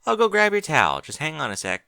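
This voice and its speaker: Man voicing a folksy farm mare, bright and lively. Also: on the high side, fast, creaky, clear, resonant tone, dry recording, studio quality, voice pushed up, full character voice. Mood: indignant. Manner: folksy, casual, earnest. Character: plain-spoken female farm pony, folksy and earnest. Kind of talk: fan fiction audiobook character voice.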